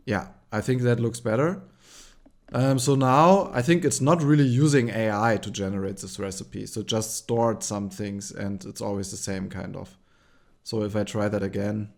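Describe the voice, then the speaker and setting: A man with a German accent hosting a relaxed screen-share tutorial, thinking aloud with frequent ums and likes. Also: fairly low pitch, vocal fry. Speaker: tutorial host.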